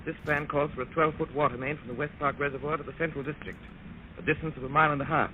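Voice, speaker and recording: A man playing a grave, confident detective in 1940s radio drama style, speaking deliberately and with ominous emphasis. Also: fairly high pitch, fairly even intonation, lightly acted. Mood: earnest, explaining. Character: grave, confident male detective explaining his deductions. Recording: tinny, noisy old-time radio recording.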